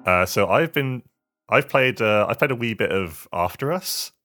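A man co-hosting a casual video game podcast, talking in a hesitant, halting way with repeated words. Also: fairly low pitch, expressive, over loud music. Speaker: podcast co-host.

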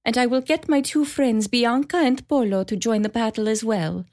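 Man doing a shrill weeping mother cat. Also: normal speed, darkish tone, no room sound, studio quality. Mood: eager. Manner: sobbing, high strained falsetto. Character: weeping female mother cat, threatening yet desperate.

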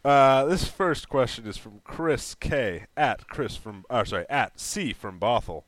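A man co-hosts a podcast, dropping dry, wry quips and reading out listener questions. His pitch is mid-range but moves in a lively, animated way. The sound is close and dead dry. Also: a little slow, dry recording. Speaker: podcast co-host.

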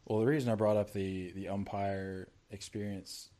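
A man co-hosting a casual sports podcast, teasing his co-host and getting animated. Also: lowish voice, moderate pace.

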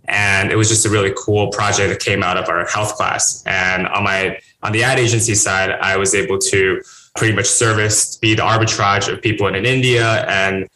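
A man's voice: lowish voice, level tone, moderate pace, pushed and bright, a little hiss or hum. A young man from China whose first language is Chinese, answering interview questions in earnest, rambling answers with restarts. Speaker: guest entrepreneur. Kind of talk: casual podcast interview. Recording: roomy echo.

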